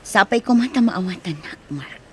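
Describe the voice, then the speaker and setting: A woman's voice: mid-range voice, lively intonation, normal speed, a little mellow, slight background noise, lightly acted. A woman playing a firm but forgiving married mother in a radio drama, with an Ilocano accent. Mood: apologetic, firm.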